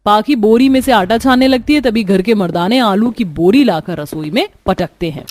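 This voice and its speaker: A woman's voice: medium pitch, moderate pace, a little mellow, no echo. A woman storyteller giving scripted narration for a cartoon story. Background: faint music.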